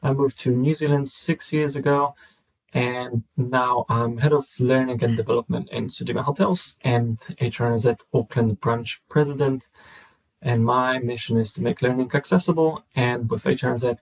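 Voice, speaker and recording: A man's voice: mid-range voice, fairly even intonation, moderate pace, gravelly, breathy edge, rough recording. A man, hosting a casual video-call chat in a friendly, upbeat way. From Poland. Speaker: podcast host.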